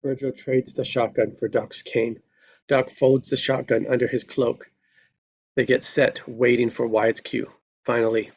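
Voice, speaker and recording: A man with a creaky, gravelly voice at a middle pitch reads screenplay action as narrator. The recording is somewhat dull and lo-fi. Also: level tone, a little slow. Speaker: narrator reading stage directions. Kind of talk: scripted narration at a live table read.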